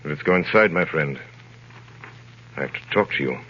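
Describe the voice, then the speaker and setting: An actor playing a grave, mysterious man near forty in a 1930s radio drama, speaking in measured, formal, probing tones. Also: very deep voice, expressive, noisy background. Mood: solemn, probing. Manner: measured, solemn, formal questioning. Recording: dull old 1930s radio broadcast recording.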